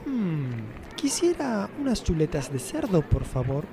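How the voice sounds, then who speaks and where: Woman as a slow, creaky-voiced earnest young lad. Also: lowish voice, big pitch swings, noisy background, heavily acted. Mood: polite, hesitant. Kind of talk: amateur comedic audio drama in neutral Spanish. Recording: voice over music bed.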